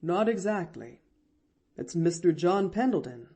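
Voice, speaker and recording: A woman voicing a kindly, grave country doctor, a man, with polite and gentle speech in an audiobook. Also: fairly low pitch, lively intonation, a little slow, husky, exaggerated voice. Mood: courteous. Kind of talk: audiobook character voice.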